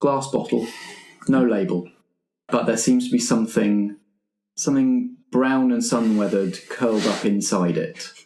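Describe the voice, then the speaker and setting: A man improvising narration as game master of a tabletop roleplaying game, with halting phrasing. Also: medium pitch, dark tone. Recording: roomy, echoey tabletop recording.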